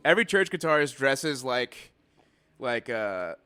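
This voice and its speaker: A male guitarist guesting on a podcast, with an everyday mid-range voice. He talks in a relaxed way and drifts into crude, irreverent jokes. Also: medium pitch, unhurried, smooth. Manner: laid-back, irreverent. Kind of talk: casual comedic chat.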